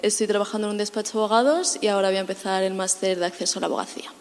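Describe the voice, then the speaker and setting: A woman in her twenties from Spain, a panel guest introducing herself in a brisk, matter-of-fact way. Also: mid-range voice, steady tone, darkish tone. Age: 20s. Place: church hall. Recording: hall reverb with faint noise.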